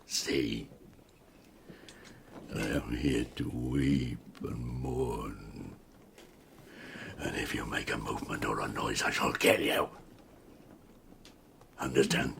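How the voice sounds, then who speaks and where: Very deep, gravelly tormented monster. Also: male voice, steady tone, slow, long pauses, fairly bright tone, a little hiss or hum, exaggerated voice. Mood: menacing. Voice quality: gravelly, breaking into harsh whispers. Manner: grave, anguished declaiming. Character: tormented male man-made creature, menacing, self-loathing, mournful. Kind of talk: dramatised audiobook acting.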